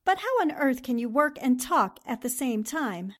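Actress as wry female newspaper reporter, radio play, amused skepticism, teasing, high lively voice, unhurried. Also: exaggerated voice. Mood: indulgent. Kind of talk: radio drama acting.

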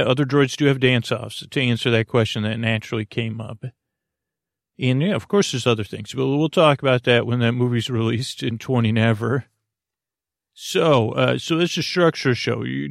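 A man hosting a bedtime podcast in a halting, meandering, silly and friendly monologue that keeps interrupting itself. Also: mid-range voice, vocal fry, dry recording, clean studio sound. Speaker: podcast host. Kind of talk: rambling bedtime monologue, casual free association.

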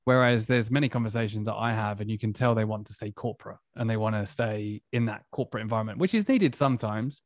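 A man's voice: on the low side, fast talker, hardly any pauses, no echo, studio quality. A man with an Essex accent hosting a podcast interview, talking in an enthusiastic and affirming way. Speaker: podcast host.

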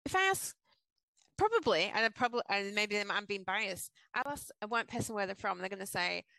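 A woman with an English accent softened by years in Australia, co-hosting a casual podcast discussion and making her points with rhetorical questions. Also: medium pitch, lively intonation, brisk, gravelly, no room sound, low-quality recording. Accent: English accent with some Australian. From England. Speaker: podcast co-host.

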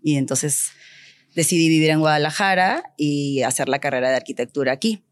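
A woman's voice: deep voice, fairly quick. A woman from Peru, an architect and designer, telling stories as an interview guest. She speaks animatedly and acts out remembered bits of dialogue. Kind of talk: conversational storytelling.

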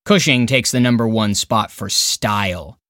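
A man's voice: fairly low pitch, measured pace, a bit bright. A man reading a scripted review countdown as a podcast narrator and critic, with an emphatic, opinionated, dramatic way of phrasing.

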